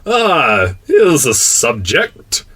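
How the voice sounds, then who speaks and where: A man voicing a proud, kindly king in a storybook, with a majestic, commanding, drawn-out delivery. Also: medium pitch, wildly swooping pitch, a bit bright, slightly rough, voice pushed up, exaggerated voice. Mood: imperious. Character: proud kindly absolute king of a tiny planet.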